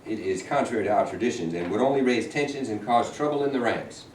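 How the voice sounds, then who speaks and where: Man reading a measured union president, lowish, echoey. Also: natural voice. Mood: firm. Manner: measured, plain-spoken. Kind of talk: staged reading of a play. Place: room at a staged reading. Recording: echoey room, distant mic, faint noise.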